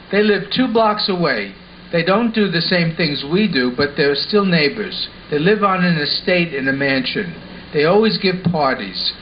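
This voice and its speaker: Puppet show boy played by a man: high voice, polite and helpful, earnest delivery, slightly hissy and muffled recording. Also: close to an everyday voice. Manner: earnest, simply explaining. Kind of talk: amateur puppet show acting. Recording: muffled home recording with hiss.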